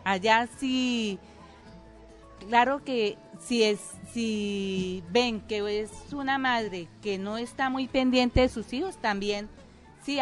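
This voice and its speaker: Colombian lady, talks real slow, firm, soft music under. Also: on the high side. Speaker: guest. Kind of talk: conversational interview answers.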